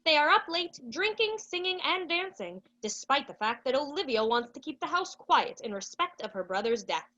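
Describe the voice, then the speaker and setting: A woman's voice: high-pitched, sing-song, moderate pace, hardly any pauses, sharp tone, highs cut off. A young woman narrating a radio play from a script, introducing scenes and characters. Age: young adult.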